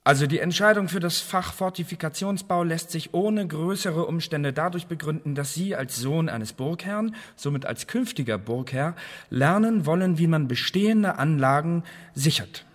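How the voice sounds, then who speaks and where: Bureaucratic spy officer, radio drama, meticulous, formal diction, lightly raised pitch, long reverb. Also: male voice, expressive, moderate pace. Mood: explanatory. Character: meticulous, bureaucratic secret service officer crafting cover identities. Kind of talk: German radio play acting. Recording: reverberant hall-like room.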